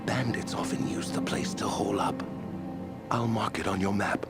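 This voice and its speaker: Low-voiced weary wounded guard. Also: male voice, normal speed, vocal fry. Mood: weary, earnest. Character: weary, wounded male guard, earnest and grateful. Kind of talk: video game dialogue acting. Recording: loud music over the voice.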